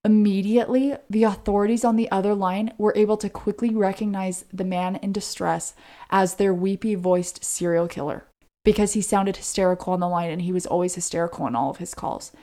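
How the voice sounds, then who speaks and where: A woman's voice: mid-range voice, steady tone, brisk, warm tone. An American woman co-hosting a podcast, telling a true-crime case in a conversational way. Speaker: podcast co-host.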